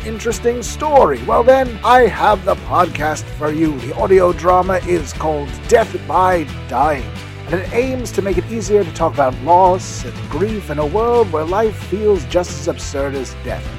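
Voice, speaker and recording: A man playing a chatty, excitable podcast pitchman who gives a rambling, self-interrupting, playfully emphatic promotional monologue. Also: a little slow, hardly any pauses, over music. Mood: chirpy, rambling. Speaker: podcast host giving a recommendation.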